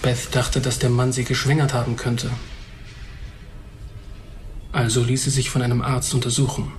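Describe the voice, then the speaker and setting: A man's voice: mid-range voice, completely flat delivery, moderate pace, slight background noise, close to an everyday voice. Actor as a brooding, candid blind man. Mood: bitter, grave. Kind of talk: radio drama acting.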